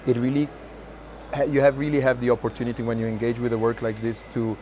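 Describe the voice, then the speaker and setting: A man's voice: mid-range voice, level tone, fast, warm tone. A man hosting a casual conversation about art, appreciative and thinking aloud as he speaks. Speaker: host, curator. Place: art fair, on the move. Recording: outdoor-like urban background noise.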